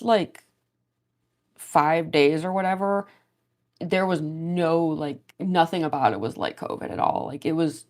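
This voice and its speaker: A young woman livestreaming as she does her cosplay makeup, rambling casually with lots of fillers and soft laughs, her voice a little stuffy and sniffly as she gets over a cold. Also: low voice. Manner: rambling, many fillers, laughs often, reads chat aloud. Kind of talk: casual livestream chat while doing makeup.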